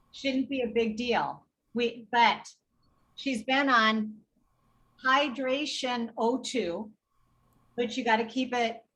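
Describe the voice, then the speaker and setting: A woman guest on a podcast interview, telling an animated story in quick bursts of detail and acting out what others said. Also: fairly high pitch, rough recording. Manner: animated, re-enacts dialogue while telling a story. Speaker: healthcare advocate guest.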